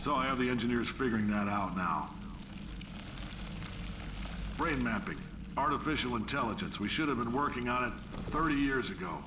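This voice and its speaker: An actor playing a brash, ranting older company boss in a comedic video game, speaking in emphatic announcements. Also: male voice, mid-range voice, animated, normal speed, long pauses, lightly acted. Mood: brisk, insistent. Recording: heavy noise behind game audio.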